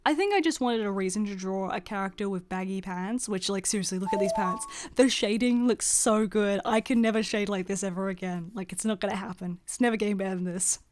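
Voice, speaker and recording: A woman's voice: medium pitch, a little fast, few pauses, a little mellow. A young adult woman giving casual, scripted voice-over commentary as an artist, chatty and informal with a self-deprecating manner. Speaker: artist and video creator.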